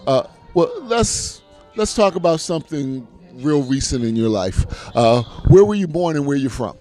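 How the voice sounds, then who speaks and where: A man interviewing a guest at an arts gala, asking friendly, brisk questions with fillers. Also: on the high side, big pitch swings, a little mellow, faint music behind. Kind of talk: event interview.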